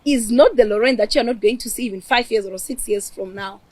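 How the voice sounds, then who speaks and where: A young Zimbabwean woman speaks in an emphatic, preacher-like way, often switching between English and Shona as she answers an interviewer. Her voice sits fairly high for a woman. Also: fast talker, a little mellow, no echo. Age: 20s. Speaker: interview guest. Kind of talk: casual interview.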